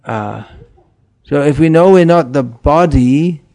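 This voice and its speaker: Man, middle-aged, spiritual teacher: slow, unhurried delivery, gentle and chuckling. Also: on the high side, animated. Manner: gentle, chuckling, questions the listeners. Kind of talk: informal spiritual talk.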